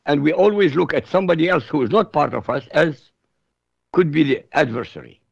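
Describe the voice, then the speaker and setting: A man's voice: fairly high pitch, animated, fairly quick, gravelly, dry recording, highs cut off. A man from Iraq whose first language is Arabic, speaking bluntly and emphatically as a radio guest on foreign affairs. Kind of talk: opinionated radio talk.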